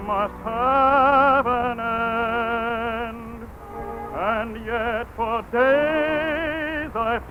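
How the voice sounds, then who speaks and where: A man singing the part of a cheerful young monk, with a full operatic voice, in a 1940s radio drama. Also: high voice. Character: merry young male monk singing carols. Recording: tinny 1940s radio broadcast with orchestra.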